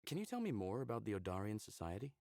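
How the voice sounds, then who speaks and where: Low, gravelly, friendly young-hearted guy. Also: sing-song, fast, darkish tone, no echo, clean studio sound. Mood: curious, sympathetic. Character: friendly, curious male human, supportive lover. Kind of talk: visual novel character acting.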